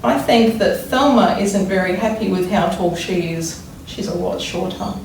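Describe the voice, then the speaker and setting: Woman reading to children in an echoey room. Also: mid-range voice. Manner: deliberate, wondering aloud, dramatic emphasis when reading. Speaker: primary school teacher reading a storybook. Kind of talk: expressive children's read-aloud with think-aloud explanations. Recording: echoey room, distant mic, faint noise.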